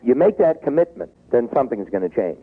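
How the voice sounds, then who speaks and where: Animated sixty-year-old man on a tinny line. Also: fast, breathy edge. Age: 60s. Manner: animated, acts out quoted pleas, drops low when reflective. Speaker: business author guest. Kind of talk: taped interview. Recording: tinny phone-like tape.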